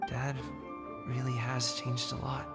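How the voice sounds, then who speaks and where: An actor playing an awkward, good-hearted young adult son who speaks hesitantly. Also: male voice, mid-range voice, monotone, slow pace. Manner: hesitant, stumbling. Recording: game audio with loud music.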